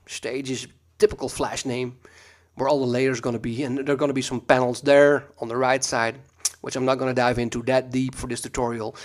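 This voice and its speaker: A Dutch-accented man talks through a software tutorial at his computer. His delivery is loose and conversational, dotted with ums and uhs, at a medium pitch that sits in the middle for a man. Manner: relaxed, chatty, frequent ums and uhs. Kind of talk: screen-recorded software tutorial.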